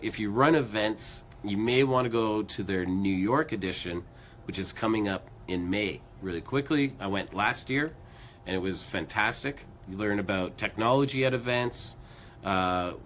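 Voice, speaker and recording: A man co-hosting a music show in casual, rambling chat, a bit hoarse from losing his voice. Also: on the low side, normal speed, a bit bright, slight background noise. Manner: rambling, upbeat, lots of fillers. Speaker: co-host of a music news show.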